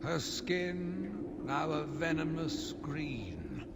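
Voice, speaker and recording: A sinister zealot's slow, theatrical monologue rolls through long reverb over a noisy ambient bed. this self-righteous game villain, swinging widely in pitch as he broods. Every phrase is weighed with fanatical disgust and conviction. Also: big pitch swings, heavily acted. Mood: cold menace. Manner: theatrical, deliberate, brooding delivery with dramatic emphasis. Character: sinister, zealous, self-righteous madman obsessed with punishing monsters. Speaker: voice actor playing a villain narrator. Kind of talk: dramatic scripted monologue, audio journal from a video game.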